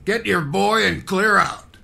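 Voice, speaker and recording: An actor playing a gruff male fight promoter in an old radio drama, curt and mocking. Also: sits high, takes it slowly, pushed and bright, exaggerated voice. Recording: old radio drama recording with faint noise.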